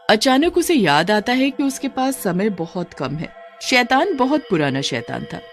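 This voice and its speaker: A narrator reading a scripted cartoon folk story in a dramatic storytelling manner. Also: mid-range voice.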